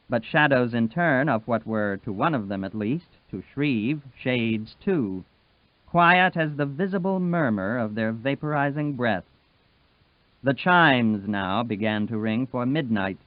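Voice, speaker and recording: A man's voice: mid-range voice, no room sound. Thin phone-like recording of male audiobook narrator. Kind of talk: literary audiobook narration, reading a novel aloud. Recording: dry, band-limited, phone-like sound.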